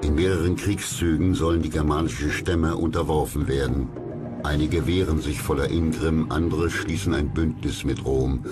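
A man narrates a documentary in an extremely deep voice. His scripted delivery is measured and dramatic, with music clearly playing underneath. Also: steady tone. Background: music behind the voice.

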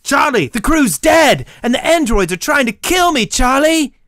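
A man's voice: very high-pitched, big pitch swings, moderate pace, fairly bright tone, no echo, studio quality, voice pushed up, exaggerated voice. A man playing a distressed, frantic space crewman in a game roleplay, urgent and exclaiming. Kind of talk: hammy game roleplay read aloud.

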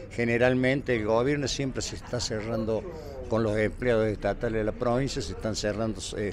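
A retired man in his sixties with an Argentine accent, answering a street interview with halting restarts and fillers. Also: on the low side. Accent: Córdoba Argentine Spanish. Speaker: retired provincial employee. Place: city street outdoors.

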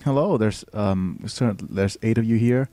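A man's voice: lowish voice, a little slow, dark tone, no room sound, soft background music. A young man from Thailand with a light Thai accent, streaming and explaining a game with many fillers and restarts. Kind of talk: live game commentary.

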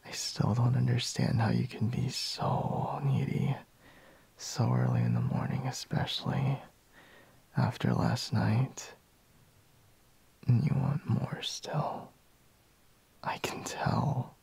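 A sleepy boyfriend, acted by a man in an ASMR roleplay, murmuring slowly and half-whispering. His voice is very husky and crackles with heavy vocal fry. He sounds reluctant yet teasing, as if barely awake. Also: mid-range voice, sing-song, darkish tone, heavily acted. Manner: slow, drowsy murmur with sighs and pauses.

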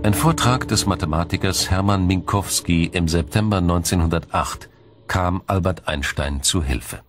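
A man reading scripted documentary narration in a measured, explanatory way. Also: deep voice. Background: music.

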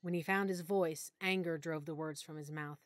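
A woman narrating a full-cast audiobook in first person, with expressive, clear storytelling. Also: low-pitched, moderate pace, no room sound, studio quality. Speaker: narrator and lead character.

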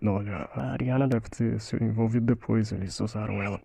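Dubbed drama, male actor as cornered young man: Brazilian accent, very raspy and fry-edged, anguished, defensive, intense. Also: lowish voice, fairly even intonation, fairly quick, mellow, dry recording. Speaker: fandub voice actor. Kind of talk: dramatic film dubbing.

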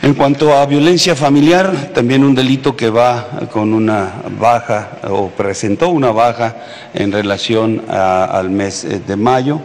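A middle-aged man with a Mexican accent gives a formal briefing as an official, haltingly and with frequent hesitations. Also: mid-range voice, steady tone, darkish tone. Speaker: military official giving a security briefing. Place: large indoor hall at a press conference. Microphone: podium microphone. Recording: echoey hall with background noise.

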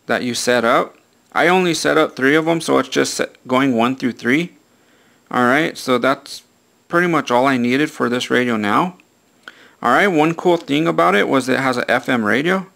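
A man's voice: medium pitch, gravelly, fairly bright tone. A man talking casually through a hands-on product review in a plain-spoken, repetitive way, often punctuating with "all right".

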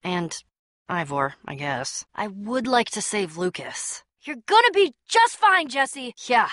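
A woman's voice: medium pitch, big pitch swings, slow pace, fairly bright tone. An actress playing a bold, sardonic young woman adventurer in a video game, with a wry, punchy, casual delivery. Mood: casual, reassuring.

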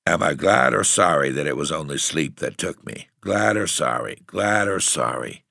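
A weary gentleman tramp, as a male narrator voices him, grim and bitter. He has a deep, gravelly sound with a bright edge. The recording is dry and close. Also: expressive, normal speed, no room sound, heavily acted.

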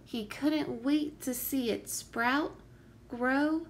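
Lady doing a kids storybook read-aloud, slow and careful, bit of gravelly creak. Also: sits high. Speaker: teacher reading a picture book aloud.

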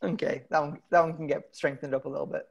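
A British man in his thirties doing a halting, self-deprecating stand-up comedy set over a video call from home, on earphone mic. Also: on the high side, level tone, creaky, slightly rough. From Britain. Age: 30s. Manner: halting, self-deprecating, often chuckling at his own lines. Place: indoor room at home. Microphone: wired earphones on a video call.

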